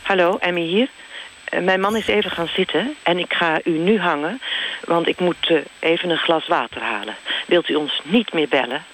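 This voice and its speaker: Casting: male actor as vague, flustered wife in radio comedy; slightly high pitch, hesitant chatter, light noise. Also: normal speed, a bit bright, lightly acted, rough recording. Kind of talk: mock radio phone interview.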